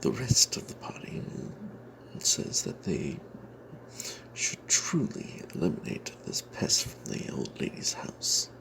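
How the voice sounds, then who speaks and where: A man telling an off-the-cuff fantasy game story in ASMR style, breathy and half-whispered, halting, with playful old-fashioned flourishes. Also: a bass voice, huge pitch swings, low-quality recording. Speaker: storyteller narrating a tabletop game tale.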